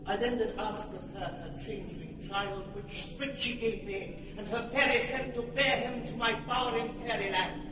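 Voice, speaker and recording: A man's voice: sits high, moderate pace, dull audio, noisy background, close to an everyday voice. An actor playing a proud, commanding fairy king, speaking in regal, declamatory verse in a classic radio drama. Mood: tender. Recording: roomy distant old radio recording.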